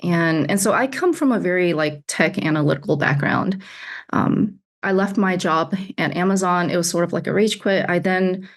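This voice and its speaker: A woman in her thirties speaks in a low register with a gravelly, fry-laden edge. She answers openly and conversationally, laughing easily as she explains her work as a coach over a video call. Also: normal speed. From United States (Alabama). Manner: candid, laughs often, repeats words. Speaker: executive coach, guest.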